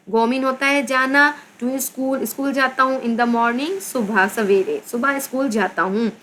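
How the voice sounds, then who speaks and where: A woman teacher from Pakistan with a Pakistani accent, slowly reading a children's English textbook aloud and explaining each word. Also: a little high, a bit bright. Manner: addresses pupils as "beta". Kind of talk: slow textbook lesson with translation.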